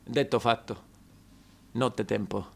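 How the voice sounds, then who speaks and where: A man's voice: fairly low pitch, fairly even intonation, slow pace, creaky. A man performing an emphatic, persuasive theatrical monologue. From southern Italy. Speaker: stage actor performing a monologue.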